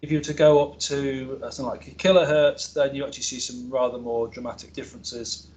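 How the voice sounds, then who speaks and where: A man's voice: medium pitch, flat delivery, fast talker, a little mellow, low-quality recording. A male academic researcher presenting a technical seminar in an informal, explanatory way, with hesitations and self-corrections. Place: seminar room with an audience present.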